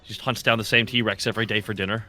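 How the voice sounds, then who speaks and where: A man's voice: lowish voice, level tone, fast, bright, forceful tone. A man making casual, jokey side comments as a player in a tabletop game. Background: music.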